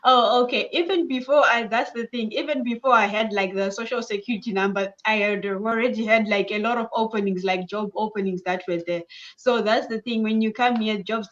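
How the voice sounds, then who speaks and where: A woman's voice: mid-range voice, a bit bright, dull audio. Cheerful Zimbabwean woman, repeating for emphasis. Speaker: guest. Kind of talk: casual interview answers.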